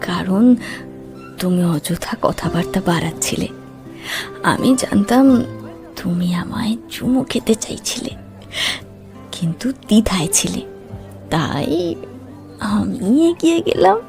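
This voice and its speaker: Woman playing a slow, gentle, nostalgic middle-aged sweetheart. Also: mid-range voice, big pitch swings, creaky, music in the background, full character voice. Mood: fond nostalgia. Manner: unhurried, soft, reflective. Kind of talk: Bengali romantic audio drama acting.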